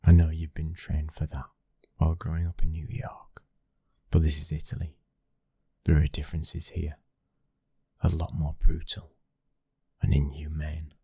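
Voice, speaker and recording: A British man playing a commanding young mafia heir in an intimate ASMR roleplay, speaking in a measured, breathy voice that often drops toward a whisper. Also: very deep voice, monotone, warm tone, no echo, exaggerated voice. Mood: firm, serious. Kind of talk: ASMR boyfriend roleplay, scripted acting.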